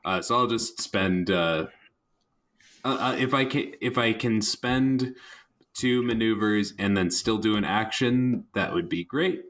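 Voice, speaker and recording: Male tabletop player, slow-paced and low, politely tentative while improvising casual story beats. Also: a bit bright.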